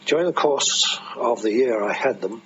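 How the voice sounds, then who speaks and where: A man's voice: mid-range voice, animated, normal speed. An older man, a former air force commander, recollecting his service in an archive interview. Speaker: former RAF squadron commander. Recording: dull, noisy archive interview recording. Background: clear background noise.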